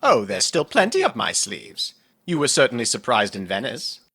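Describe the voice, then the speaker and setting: Audio drama role: doting gentleman occultist and healer, genteel and playful, lively intonation, crisp bright tone. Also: mid-range voice, normal speed, heavily acted. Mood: affectionate, showy. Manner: genteel, playful, formal wording. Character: doting, nerdy, refined occult healer, male lover.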